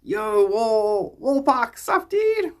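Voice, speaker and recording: Animated South African man chatting casually. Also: very high-pitched. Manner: playful, slangy, greeting viewers in drawn-out exclamations. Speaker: live streamer and podcast host. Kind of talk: casual live stream chat. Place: small indoor room, close to the camera.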